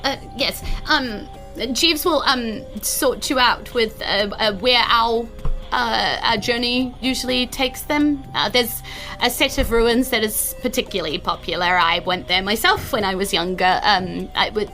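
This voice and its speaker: A woman's voice: a little high, measured pace, sharp tone, soft background music, poor audio. A woman playing an anxious, hesitant town official and worried mother in a tabletop fantasy game, often repeating her words. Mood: worried, fretful.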